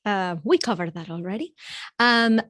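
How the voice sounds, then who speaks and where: A woman in her forties from Venezuela, a native Spanish speaker with a Venezuelan accent, talking as a podcast guest in an energetic, motivational, straight-talking way. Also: medium pitch, normal speed, dry recording, clean studio sound. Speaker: guest business coach. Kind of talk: podcast interview conversation.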